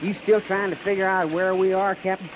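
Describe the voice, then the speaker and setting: An actor playing a wry, easygoing frontier cavalry corporal in a 1950s radio western, with folksy, ungrammatical talk. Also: male voice, high-pitched, flat delivery, a little fast, natural voice. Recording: muffled, hissy 1950s radio recording.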